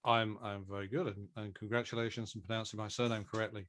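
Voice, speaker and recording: A man's voice: on the low side, flat delivery, fast, a bit bright. A man chatting casually as a podcast guest, with a dry, teasing sense of humour. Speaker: podcast guest, audio drama producer and director. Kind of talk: casual group chat.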